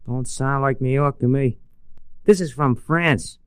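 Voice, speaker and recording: A man's voice: medium pitch, a little slow, smooth, no echo, close to an everyday voice. A man playing a breezy, streetwise American sidekick in 1940s radio drama, with slangy, folksy talk. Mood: eager. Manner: slangy, folksy, plain-spoken. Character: breezy, streetwise, loyal male sidekick of an adventurer.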